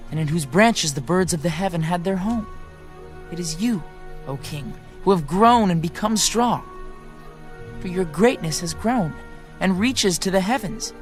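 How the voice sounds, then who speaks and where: Earnest, deferential male prophet for scripture drama; high-pitched, formal, grave, light music bed. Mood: earnest, respectful. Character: earnest, respectful male prophet addressing his king. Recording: faint music bed behind.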